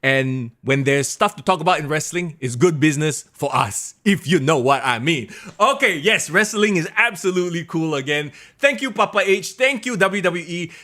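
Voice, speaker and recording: Young male host, Singaporean English accent, slightly high voice, hoarse, excitable solo stream chat. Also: moderate pace, hardly any pauses. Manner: excitable, animated, drops to low mutters. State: hoarse, losing his voice. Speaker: wrestling podcast host. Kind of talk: solo live-stream chat reading viewer comments.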